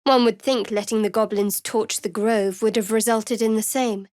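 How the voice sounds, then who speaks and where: An actress playing a guarded, wry young female cleric in a fantasy video game, speaking in a cool, dry, measured way. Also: on the high side, steady tone, darkish tone, no echo, lightly acted. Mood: cool, pragmatic. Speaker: video game companion character. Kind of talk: scripted fantasy game voice acting.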